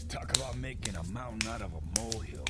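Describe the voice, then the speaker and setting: An actor playing a brash, wisecracking adventurer in an audio drama, blunt and slangy. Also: male voice, fairly low pitch, fast, noisy background, lightly acted. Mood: wry, defiant.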